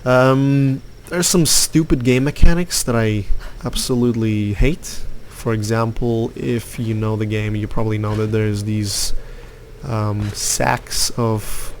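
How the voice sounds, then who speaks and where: A man's voice: lowish voice, fairly even intonation, takes it slowly, slight background noise. A young man from Lithuania giving rambling, opinionated commentary over his gameplay, with lots of fillers. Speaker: gaming youtuber.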